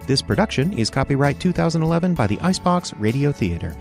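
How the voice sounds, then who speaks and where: A man's voice: on the high side, animated, quick pace, vocal fry, darkish tone, faint music behind. A man reading scripted credits and sponsor announcements as a radio announcer.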